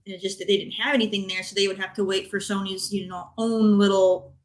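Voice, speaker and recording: A woman's voice: mid-range voice, little pitch movement, a little fast, rough recording. A woman speaking informally as a panelist on a gaming talk show, giving opinions in a blunt, emphatic way.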